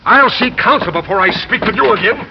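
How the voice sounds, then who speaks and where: A man's voice: high voice, expressive, brisk, gravelly. An actor playing a haughty, indignant older businessman in 1950s radio drama, pompous and formal. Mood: outraged, protesting. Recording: muffled, noisy vintage radio recording.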